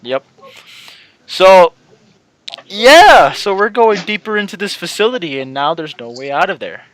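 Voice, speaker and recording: A man's voice: high-pitched, sing-song, unhurried, fairly bright tone, dry recording, low-quality recording. A man doing casual let's-play commentary as a channel host, rambling and repeating himself as he talks to his viewers.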